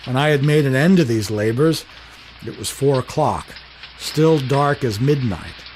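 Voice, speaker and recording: A sober, down-on-his-luck night watchman from 1938, narrating a radio drama. His telling is wry and colloquial, with hesitant turns, in an average male register. Steady rain is clearly heard behind the voice. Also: mid-range voice. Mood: hushed, macabre reading. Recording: voice over steady rain noise.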